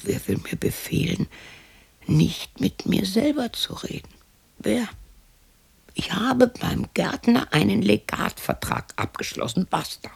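A woman's voice: medium pitch, very animated, takes it slowly, creaky, a little mellow, breathy edge, exaggerated voice. An actress playing a lonely widow in her sixties who mutters to herself in a radio drama. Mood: indignant. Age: 60s. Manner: muttering to herself, shifting between musing and scolding. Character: lonely sixty-year-old widow talking to herself and imagined family.

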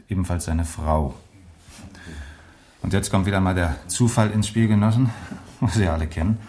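Low-voiced, methodical police major played by an actor. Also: male voice, darkish tone, lightly acted. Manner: deliberate, formal, matter-of-fact. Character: measured, methodical male police major investigating. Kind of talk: German radio crime drama acting.

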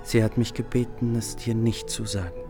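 An actor playing an adoring, anxious lover, speaking in a solemn, measured, lyrical way in a poetic radio drama. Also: male voice, on the low side, level tone, lightly acted. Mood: guarded. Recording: music behind the voice.

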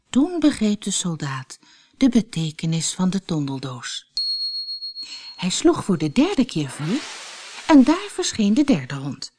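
A woman reading a fairy tale as an audiobook storyteller, expressive and dramatic in her scripted narration. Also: mid-range voice.

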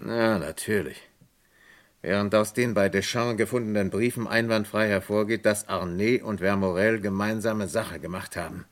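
A man acting as a police commissioner in an old radio crime play. His voice sits fairly low, and he speaks in a measured, formal way. He is courteous and methodical, yet firm. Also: natural voice. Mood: deliberating. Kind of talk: German radio crime drama acting, mid twentieth century.

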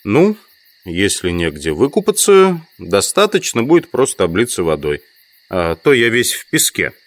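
An actor playing an inquisitive, sceptical insect collector from the city in an audio drama. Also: male voice, fairly low pitch, huge pitch swings, moderate pace, no room sound. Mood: puzzled, polite. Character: male amateur entomologist, inquisitive, sceptical, politely insistent city visitor. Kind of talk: Russian audio drama, dialogue and inner monologue.

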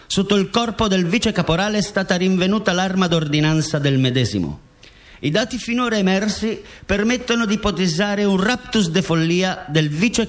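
A guard commander in a radio play. The voice is notably high for a male, and the delivery is formal, like an official statement. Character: dead guard commander recounting his killing, formal. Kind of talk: scripted radio drama monologue.

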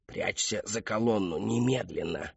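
A man's voice: lowish voice, a little slow, creaky, slightly rough, no echo, highs cut off, exaggerated voice. A man voicing a sly robot cat that speaks as a grandmother in a conspiratorial whisper, for a story. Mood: urgent, sly. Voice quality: hushed whisper. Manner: conspiratorial, instructing.

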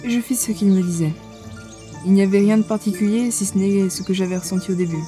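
A woman playing a novice ranger who tells her own adventure in a fantasy audio drama, measured and a little wry. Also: medium pitch, warm tone, soft background music, lightly acted. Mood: awed, soft. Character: young female ranger, novice gamer, wry and curious narrator. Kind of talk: first-person audio drama narration.

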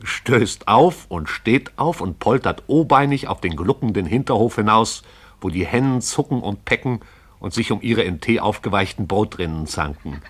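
A man narrating a literary radio play in a measured, descriptive storytelling way. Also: on the low side, animated, a bit bright, breathy edge.

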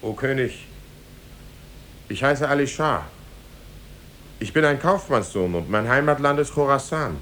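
An actor playing a humble, lovesick young merchant's son, earnest and deferential, in a 1950s German radio play. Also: male voice, expressive, unhurried, long pauses, a bit bright. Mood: fervent, pleading. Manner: deferential, solemn, fervent. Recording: 1950s radio recording with faint rumble.

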